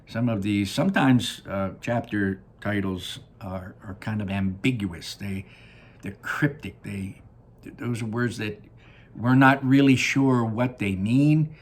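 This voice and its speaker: Male storybook reader: unhurried, expressive, husky, with casual asides and dramatic pauses. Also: lowish voice. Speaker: read-aloud host.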